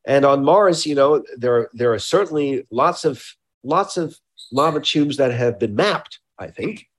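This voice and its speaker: A man speaking as an expert interviewee, explaining informally and haltingly, often repeating words and qualifying what he says. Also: mid-range voice, animated, slightly rough. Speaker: scientist being interviewed.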